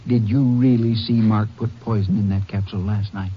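Deep husky country sheriff. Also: male voice, fairly even intonation, brisk. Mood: reluctant, concerned. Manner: folksy, plain-spoken. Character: plain-spoken, sympathetic Texas sheriff. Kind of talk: old-time radio drama acting. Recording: muffled vintage radio recording.

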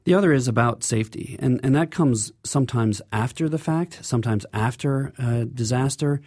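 A man's voice: mid-range voice, normal speed, a little mellow, dry recording, clean studio sound. A man speaking as an engineer guest in an interview, explaining things in an earnest way.

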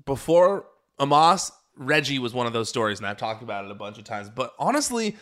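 A man's voice: medium pitch, big pitch swings, brisk, fairly bright tone. A man hosting a podcast alone, introducing a best-of episode in an earnest, rambling way with frequent restarts. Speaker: podcast host.

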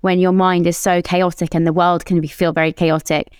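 Smooth-voiced woman host, thoughtful. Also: fairly low pitch, little pitch movement, fairly quick, no echo, clean studio sound. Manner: thoughtful, reflective questions. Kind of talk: podcast interview.

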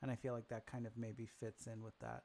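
A man from Brooklyn hosts a casual podcast, talking in an easygoing, loose way. He fills his pauses with ums and drifts through his thoughts. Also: mid-range voice. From Brooklyn, New York. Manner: relaxed, filler-heavy talk. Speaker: podcast host.

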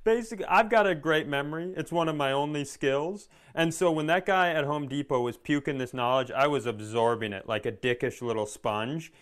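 A man's voice: on the high side, brisk, hardly any pauses. A man co-hosting a comedy podcast, telling stories in casual chat with sarcasm, swearing and acted-out dialogue.

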